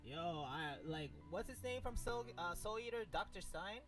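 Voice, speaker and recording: Male let's play sidekick, slightly high pitch, casual slangy chat, faint music underneath. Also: animated, normal speed, a bit bright. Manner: slangy, loose, conversational.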